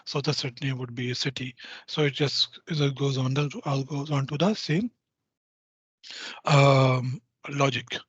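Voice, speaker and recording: A man presents a technical walkthrough in a husky, slightly breathy voice, stopping and restarting his sentences and filling gaps with "uh". His audio is dry and dull, like a lo-fi computer mic on an online call. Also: mid-range voice, fairly even intonation, no echo. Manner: halting, full of restarts and "uh" fillers. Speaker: user group presenter.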